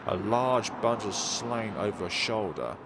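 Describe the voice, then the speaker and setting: A man's voice: on the low side, animated, a little slow, noisy background. A man reading a visual novel aloud as narration, with casual side remarks and the odd stumble he corrects.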